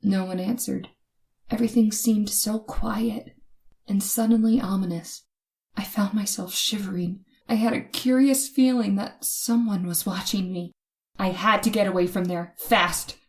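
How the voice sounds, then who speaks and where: A woman's voice: mid-range voice, steady tone, unhurried, a little mellow, close to an everyday voice. A woman playing a frightened woman motorist in an old-time radio horror drama, with tense, suspenseful, dramatic delivery. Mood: tense, ominous. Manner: dramatic, breathy gasps and hesitant stammers when afraid. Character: frightened young woman motorist, tense, narrating her terror. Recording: noisy recording with ambient effects.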